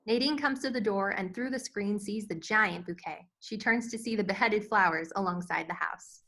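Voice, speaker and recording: A woman's voice: medium pitch, normal speed, bright, forceful tone. A woman reading stage directions as scripted narration for a screenplay table read.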